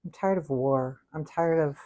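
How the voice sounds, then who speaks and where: A woman's voice: deep voice, normal speed, very noisy. A woman in her sixties with an American accent, chatting casually in a candid, meandering way, often interrupting herself as she hosts a cooking livestream. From United States. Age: 60s. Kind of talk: casual rambling livestream chat while cooking.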